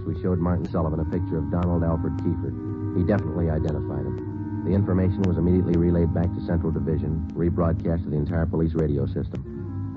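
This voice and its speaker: A deep-voiced police detective narrates in flat, clipped, matter-of-fact sentences, as a man plays him in an old radio drama. Music plays underneath. The sound is thin and band-limited like a vintage broadcast. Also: narrow, tinny audio, close to an everyday voice. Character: terse police detective sergeant narrating, middle-aged man.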